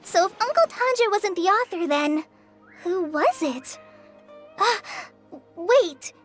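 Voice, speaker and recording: Super high bouncy little fairy sidekick, game music behind. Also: female voice, lively intonation, slow pace, exaggerated voice. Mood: surprised. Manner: high, bouncy, refers to herself in third person. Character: small floating fairy companion, chirpy and excitable. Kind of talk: game voice acting. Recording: game audio with clear background music.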